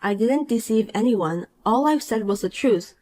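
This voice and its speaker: A woman voicing a calm, cool married young woman in a dramatized story, dry and patient. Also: a little high, moderate pace.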